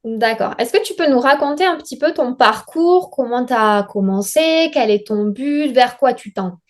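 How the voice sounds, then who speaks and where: A woman's voice: on the high side, lively intonation, normal speed. A woman hosting a podcast, opening the show and asking brief friendly questions. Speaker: podcast host. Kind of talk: scripted podcast intro and questions.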